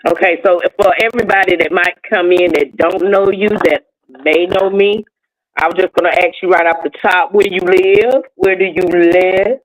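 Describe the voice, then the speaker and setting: A woman's voice: lowish voice, fairly even intonation, moderate pace, poor audio. Easygoing woman. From North Carolina. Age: 50s. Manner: easygoing, asks simple questions while checking the chat. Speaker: guest co-host. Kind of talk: casual live-stream chat.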